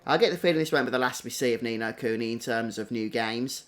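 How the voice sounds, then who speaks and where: A man's voice: mid-range voice, fast, a bit bright. A man giving casual live stream commentary as a game streamer. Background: game music behind the voice.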